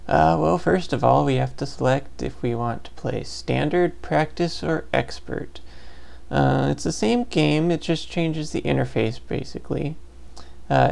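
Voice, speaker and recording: A man hosting a let's play, casually explaining a game in a hesitant, low-key way. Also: gravelly, a little mellow. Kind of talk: casual game commentary, reading on-screen text aloud. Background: game music.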